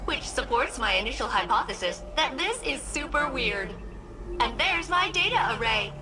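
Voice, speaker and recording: A woman's voice: high-pitched, very animated, moderate pace, rough recording. An actress voicing a quirky, cheerful female ship AI in a video game, with a chirpy, playful delivery. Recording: game audio with loud music.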